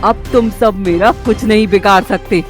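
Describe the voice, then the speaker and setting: A woman voicing a cruel witch who gloats and threatens theatrically in an animated moral story. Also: mid-range voice, moderate pace, soft background music, lightly acted. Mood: gloating menace.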